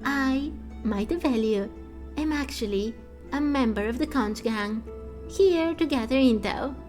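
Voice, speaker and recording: Woman playing a cocky, theatrical, high-voiced thief. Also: unhurried, a little mellow, faint music behind. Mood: conspiratorial. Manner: boastful, conspiratorial. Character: cocky, theatrical self-styled master thief. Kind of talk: fan voice-over of game dialogue.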